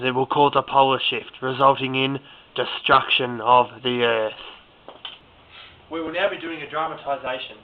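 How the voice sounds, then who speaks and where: A man giving a mock-serious presentation in an amateur video. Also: medium pitch. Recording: thin phone-like lo-fi sound.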